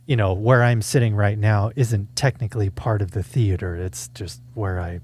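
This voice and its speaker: A man's voice: on the low side, steady tone, moderate pace, a little mellow, a little hiss or hum. A man who hosts video reviews, chatting casually from a room at home. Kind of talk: casual chat.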